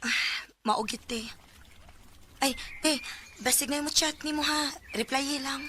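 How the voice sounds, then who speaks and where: An eager Cebuano younger sister in a radio drama. Her voice is high and bright, cheerful and coaxing, with faint noise behind it. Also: slow, full character voice. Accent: Filipino, Cebuano Bisaya. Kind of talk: Bisaya radio drama acting.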